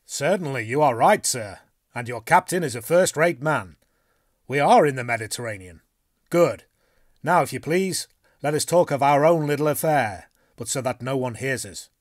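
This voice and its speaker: A man voicing a blunt, restless harpooner in a forceful, emphatic way. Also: medium pitch, animated, moderate pace, dry recording, clean studio sound, pitched above the performer's own voice, lightly acted. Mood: hearty. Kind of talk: audiobook dialogue reading.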